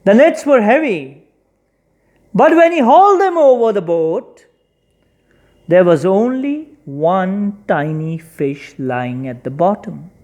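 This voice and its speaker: A storyteller narrating a children's folktale expressively, with deliberate, dramatic emphasis. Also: medium pitch, lively intonation, darkish tone.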